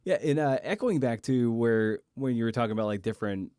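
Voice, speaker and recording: A man hosting a conversational literary podcast, asking halting, rambling questions and often repeating words as he goes. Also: mid-range voice, dark tone, clean tone, no echo, clean studio sound. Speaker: podcast host and interviewer.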